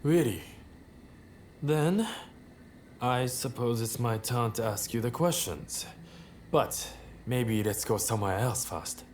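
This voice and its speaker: An actor playing a bold, charming young samurai rebel leader in a video game cutscene, relaxed and self-assured. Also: male voice, medium pitch, slow pace, a little hiss or hum, natural voice. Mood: easygoing, charming.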